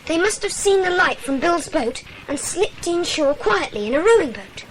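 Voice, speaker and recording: A woman playing a sensible, well-spoken British girl who briskly takes charge in a children's adventure audio drama. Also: very high-pitched, big pitch swings, crowd noise, low-quality recording. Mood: brisk, thoughtful.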